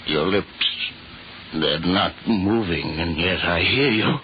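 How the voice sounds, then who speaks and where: An actor playing a frightened young man in an old radio horror drama, halting and repeating himself as desperation grows. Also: deep voice. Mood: dazed, bewildered. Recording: muffled, noisy old radio recording.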